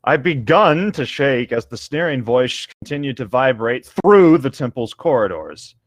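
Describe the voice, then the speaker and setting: A man co-hosts a comic read-aloud in a theatrical way. His pitch swings widely between narration and jokey casual asides, and the sound is dry. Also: expressive, unhurried, no room sound. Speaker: co-host reading aloud.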